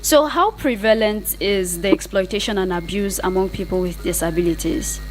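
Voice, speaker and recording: A woman's voice: mid-range voice, moderate pace, faint music behind, low-quality recording. A woman with a Nigerian accent hosting a radio interview, responding to and summing up what the guest says. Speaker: radio host.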